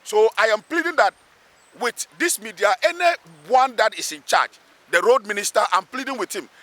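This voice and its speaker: High, bright-voiced Ghanaian man, emphatic. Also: lively intonation, normal speed. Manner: emphatic, repeats phrases for weight. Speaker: local assemblyman, interviewee. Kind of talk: spoken interview, pleading advocacy. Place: outdoors beside a road underpass.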